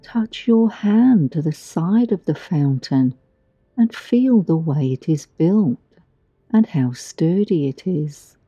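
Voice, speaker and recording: Very mellow, slow-speaking woman guiding meditation. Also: fairly low pitch, lively intonation, dry recording, lo-fi sound. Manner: soothing, gentle, deliberate delivery with long pauses. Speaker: meditation guide. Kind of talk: guided meditation narration. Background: background music.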